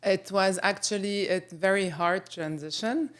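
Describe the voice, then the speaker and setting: A middle-aged woman from Lebanon, guest on an interview podcast, speaking thoughtfully with many pauses and fillers. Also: mid-range voice. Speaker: guest photographer. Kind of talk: casual interview conversation.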